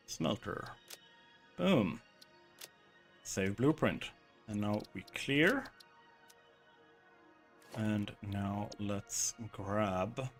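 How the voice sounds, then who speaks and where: Chatty man over faint music. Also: fairly low pitch. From southern Sweden. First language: Swedish. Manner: rambling and chatty, reads chat aloud, lots of "like". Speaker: game streamer. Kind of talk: casual live-stream chat while building.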